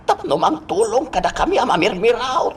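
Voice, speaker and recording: A man playing a dignified old villager in a radio drama, halting and earnest, with an Ilocano accent. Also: extremely high pitch, big pitch swings, a little hiss or hum, heavily acted. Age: older.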